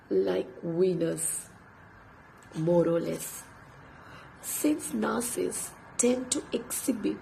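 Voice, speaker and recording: A woman whose first language is Tamil, an English instructor with a sore throat and a nearly lost voice, talking late at night in a hushed, breathy half-whisper and reading aloud from a book. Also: mid-range voice, slight background noise, rough recording.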